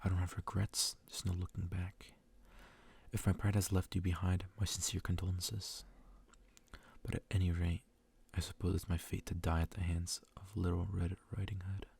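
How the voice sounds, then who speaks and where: A man reading a story aloud in a soft ASMR style, hushed and intimate, often slipping into whispers. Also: low-pitched, flat delivery, moderate pace, vocal fry, slightly rough. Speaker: ASMR reader. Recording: faint background noise.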